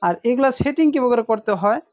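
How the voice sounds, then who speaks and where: A woman's voice: on the high side, quick pace, darkish tone, no echo. A woman presents a casual unboxing demonstration, explaining informally and repeating her points.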